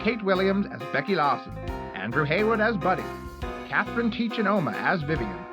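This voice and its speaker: A male announcer in old radio serial style. His voice is high and lively, with guitar music playing clearly behind it. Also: brisk, highs cut off.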